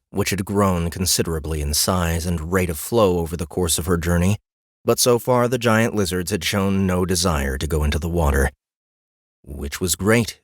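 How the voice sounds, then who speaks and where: A man's voice: fairly low pitch, no room sound. A man reading scripted fantasy narration as an audiobook narrator, close on a studio mic.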